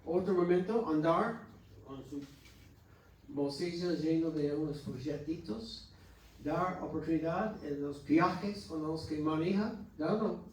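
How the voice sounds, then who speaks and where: American guy preaching sunday school, kinda high voice, far from the mic in a big echoey room. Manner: earnest, exhorting with halting restarts. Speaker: pastor. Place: church hall. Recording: echoey church hall from far off.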